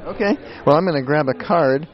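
A man's voice: on the high side, fairly quick, muffled recording. A man hosting a radio talk show, upbeat, asking short follow-up questions.

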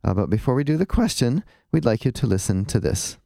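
A man's voice: medium pitch, very animated, fairly quick, creaky, dark tone, no room sound, studio quality. A man hosting a radio talk show, upbeat, asking short follow-up questions.

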